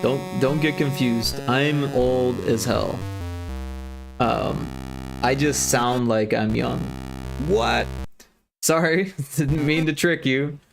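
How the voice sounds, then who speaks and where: Gravelly chatty man in his forties. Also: medium pitch, slow, music in the background, low-quality recording. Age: 40s. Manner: rambling, chatty, lots of fillers and restarts. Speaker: livestreaming game developer. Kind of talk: casual livestream chat.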